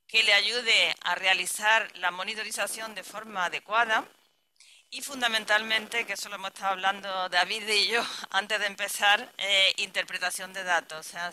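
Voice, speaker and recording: A woman's voice: mid-range voice, pushed and bright, low-quality recording. A woman researcher giving a conference presentation, thinking aloud and rephrasing herself with restarts.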